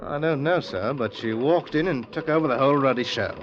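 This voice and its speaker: An actor with a British accent playing a courteous, deferential army lieutenant in a 1940s radio drama. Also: male voice, mid-range voice, fairly quick, lightly acted. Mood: respectful, admiring. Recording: dull old radio recording, faint noise.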